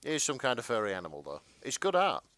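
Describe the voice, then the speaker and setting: A man chats casually as a podcast co-host, his pitch moving up and down in a lively way. He is a little halting, and the recording is dry and free of room sound. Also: dry recording. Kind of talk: casual chat.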